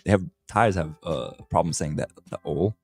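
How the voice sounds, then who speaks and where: A man co-hosting a casual livestream chat, relaxed and agreeable. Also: sits low, moderate pace, breathy edge, faint music behind. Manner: easygoing, brief agreeing replies. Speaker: livestream co-host.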